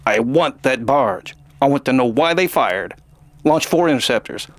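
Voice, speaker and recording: A man's voice: mid-range voice, lively intonation, moderate pace, no room sound, natural voice. A man playing a stern starship captain in an audio drama, giving terse, commanding orders.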